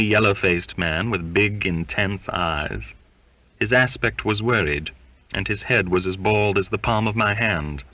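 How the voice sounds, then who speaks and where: Deep-voiced man narrating a literary audiobook. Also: no echo. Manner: measured, expressive storytelling. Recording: dull, muffled lo-fi audio.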